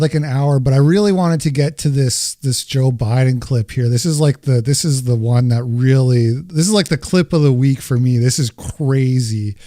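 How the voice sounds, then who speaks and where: A man's voice: mid-range voice, warm tone, no room sound. A man hosting a podcast, talking in an easygoing, hesitant way with many fillers. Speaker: podcast host. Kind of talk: casual chat.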